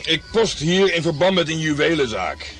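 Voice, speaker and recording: A man's voice: a little high, lively intonation, moderate pace, fairly bright tone, soft background music. An actor playing a brash, self-important hard-boiled male private detective in a radio play, blustering and condescending. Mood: blustering, irritated.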